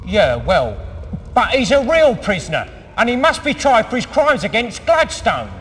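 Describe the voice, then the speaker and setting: A man's voice: high voice, sing-song, normal speed, a bit bright. A man playing an indignant, officious guard in a fantasy game, insistent and blustering, recorded with long reverb and some noise. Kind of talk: theatrical fantasy game acting. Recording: long hall reverb, noisy background.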